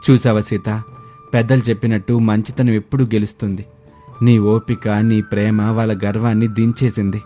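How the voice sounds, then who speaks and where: A humble young village husband, acted by a man, deferential and earnest. His storybook voice is soft-toned and mellow, with music behind. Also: fairly low pitch, level tone, moderate pace, close to an everyday voice. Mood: happy.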